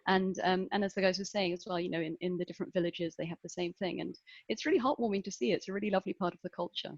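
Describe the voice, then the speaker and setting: A woman's voice: lowish voice, steady tone, rapid-fire, no room sound, highs cut off. A woman panelist who lives abroad, chatting casually in an online panel with lots of fillers. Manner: chatty, many fillers and restarts. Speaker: panelist living in Valencia.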